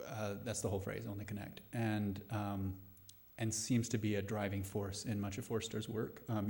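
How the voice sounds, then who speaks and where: Low-voiced man, thoughtful and halting. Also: darkish tone. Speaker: playwright answering audience questions. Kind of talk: post-show question and answer talk. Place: live radio play venue before a studio audience.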